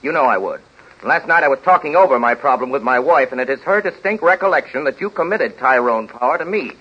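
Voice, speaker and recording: An actor playing a brash, self-important Hollywood producer who barks out orders in 1930s radio comedy style. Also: male voice, medium pitch, expressive, slightly rough. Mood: wheedling, businesslike. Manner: rapid-fire barking dictation and orders. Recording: muffled 1930s radio broadcast recording.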